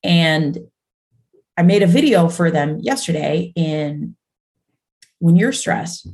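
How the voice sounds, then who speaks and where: Chatty low-voiced woman co-host. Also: lively intonation, slow, a little mellow. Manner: chatty, full of fillers and restarts. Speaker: podcast co-host. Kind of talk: casual chat.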